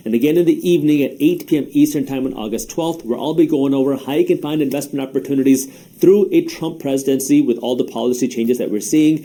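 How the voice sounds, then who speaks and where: Male finance presenter, Punjabi first language, very dark warm timbre, scripted and polished. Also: a little high. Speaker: finance educator. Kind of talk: scripted explainer.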